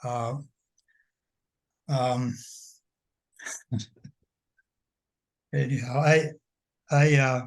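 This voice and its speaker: A man's voice: mid-range voice, a little mellow. A man speaking casually on a video call, rambling with many restarts and fillers. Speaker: guest speaker. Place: home.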